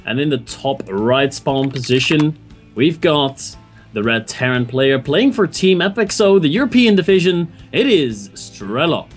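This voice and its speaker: Man, esports caster, excitable, slightly high pitch, faint music behind. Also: a little slow. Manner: excitable, laughs often, thinks aloud. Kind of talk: esports play-by-play commentary.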